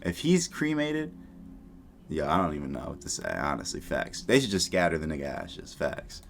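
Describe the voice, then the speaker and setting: A man's voice: low voice, wildly swooping pitch, moderate pace, gravelly, a little hiss or hum. A man giving casual reaction commentary in a blunt, slangy, profane way. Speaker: YouTube commentator. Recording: music behind the voice. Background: background music.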